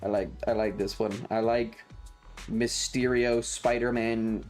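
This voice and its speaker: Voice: mid-range voice, flat delivery, a little slow, darkish tone, soft background music. A streamer chatting casually while gaming, with foul-mouthed slang and playful exclamations. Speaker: variety streamer. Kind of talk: casual gaming livestream chat.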